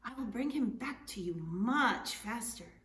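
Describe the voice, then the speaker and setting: A woman's voice: fairly high pitch, lively intonation, unhurried, a bit bright. A woman voicing a sly young male trickster in a storybook, theatrically courteous and falsely helpful. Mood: eagerly helpful. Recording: echoey room, distant mic, faint noise.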